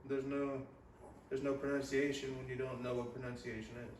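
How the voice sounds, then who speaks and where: A man's voice: mid-range voice, flat delivery, a little fast, a little mellow. A young man in a casual chat, joking around in slangy everyday speech. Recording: echoey room, distant mic, faint noise.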